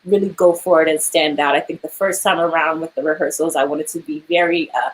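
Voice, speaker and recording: A woman's voice: low-pitched, fairly even intonation, brisk, a little hiss or hum, poor audio. A young woman, an actor, chatting casually in an interview, laughing often and filling her speech with "like" and "um".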